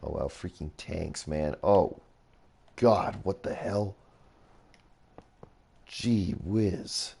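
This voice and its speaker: A young man casually rambling through a game tour as a streamer, his voice a little raspy, often reacting with surprise. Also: sits low, drawn-out words, pauses often, warm tone. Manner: rambling, easily impressed, mild swearing. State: slightly raspy voice. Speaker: gaming streamer. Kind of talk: casual game commentary while touring builds.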